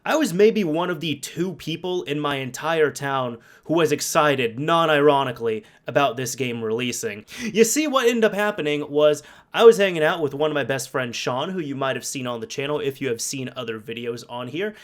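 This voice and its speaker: A man with a slightly high voice speaks as a gaming video host. His delivery is casual and conversational. He leans on key words and restates points for emphasis. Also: expressive, moderate pace, few pauses. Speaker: video game YouTuber presenting to camera. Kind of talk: casual video commentary with personal anecdotes.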